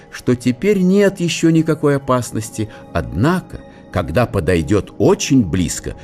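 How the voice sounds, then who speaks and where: A man's voice: mid-range voice, a little mellow. A man giving a scripted literary narration in a radio drama.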